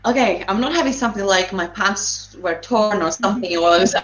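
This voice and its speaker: A woman from Greece with a Greek accent, a guest on a casual video call, who tells stories in an animated way and laughs often. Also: mid-range voice, brisk, noisy background. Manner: animated storytelling, laughs often, acts out voices in quotes. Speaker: guest, dance fitness instructor. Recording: dull lo-fi video-call audio.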